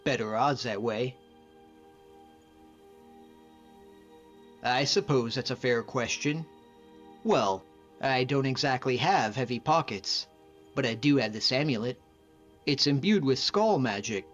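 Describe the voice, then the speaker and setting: A man playing a friendly, modest lone-wolf hunter as a video game companion, speaking in an earnest, measured way. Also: medium pitch, highs cut off, soft background music, close to an everyday voice. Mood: friendly, persuasive.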